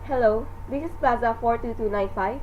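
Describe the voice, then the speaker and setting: Fairly high female voice, actress acting, impersonal telegram clerk for radio play, clipped and formal, thin telephone line. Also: moderate pace. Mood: businesslike. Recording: tinny phone-like sound, faint noise.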